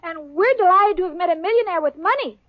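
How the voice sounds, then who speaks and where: A woman's voice: very high voice, lively intonation, fast. An actress playing a ditzy, sweet young woman in a radio sitcom, innocent and cheerfully literal-minded. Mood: guileless. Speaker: lead character in a radio sitcom. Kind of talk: 1940s radio sitcom acting. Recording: lo-fi old radio broadcast with studio audience and hiss.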